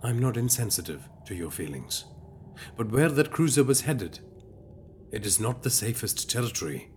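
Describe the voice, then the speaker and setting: A man playing a measured, diplomatic adviser in an audio drama, speaking carefully and formally. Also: mid-range voice, sing-song, darkish tone, slight background noise, lightly acted. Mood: concerned, tactful.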